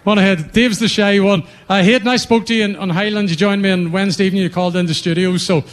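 A man hosting live stage interviews at a rally event, brisk and chatty. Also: high voice, steady tone. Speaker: event host.

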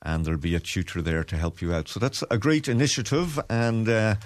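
Deep-voiced man reading local news. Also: no room sound. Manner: steady, informative, frequent "uh" pauses. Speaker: business segment presenter. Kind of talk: radio chat, reading news items. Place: radio studio.

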